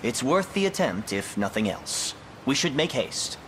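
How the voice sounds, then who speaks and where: Serious knight, mid-pitched voice. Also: male voice, big pitch swings, measured pace, a bit bright, a little hiss or hum. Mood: measured. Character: cautious, serious male knightly adviser. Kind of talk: formal fantasy video game acting.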